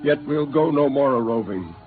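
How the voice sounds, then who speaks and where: Brooding spaceman, played by a man, expressive, old radio. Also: mid-range voice, moderate pace, phone-quality sound, lightly acted. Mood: solemn, mournful. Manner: earnest, solemn, impassioned. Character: intense, brooding, idealistic spaceman, bitter and haunted. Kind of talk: 1950s radio drama acting. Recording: noisy band-limited old radio recording.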